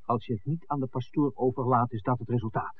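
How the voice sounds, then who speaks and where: An old, thin, hissy radio play recording features a man acting a pragmatic film maker. His mid-pitched voice is blunt and insistent. He argues impatiently, as someone who wants no trouble. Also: steady tone, fast, close to an everyday voice. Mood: exasperated, persuasive. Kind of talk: 1960s radio drama acting. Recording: tinny, noisy 1960s radio recording.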